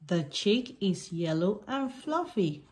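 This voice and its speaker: A woman reading a picture book aloud for small children in simple, deliberate sentences. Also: mid-range voice, very animated, background noise.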